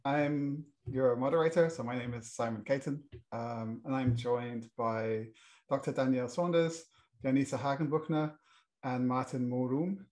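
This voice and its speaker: British man moderating a panel, halting. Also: medium pitch, steady tone, darkish tone. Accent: British English. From Britain. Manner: hesitant, many restarts and fillers. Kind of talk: presenting a panel introduction.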